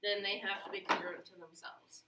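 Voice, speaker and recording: A woman's voice: medium pitch, flat delivery, a little fast, noisy background. A woman teaching a geometry class, upbeat and coaxing her students with questions. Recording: echoey classroom, mic at a distance.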